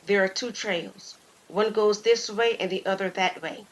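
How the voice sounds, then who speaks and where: Woman doing a calm, practical young woman. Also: medium pitch, measured pace, close to an everyday voice, low-quality recording. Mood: matter-of-fact. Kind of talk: audio drama acting.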